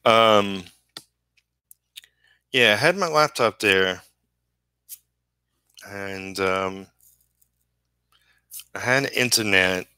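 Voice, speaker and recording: A man's voice: on the low side, extremely slow, pauses often, pushed and bright, clear, resonant tone, dry recording. A man chatting casually on a livestream while he works, rambling and trailing off mid-thought in an easygoing, self-deprecating way. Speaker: comic book inker live streaming.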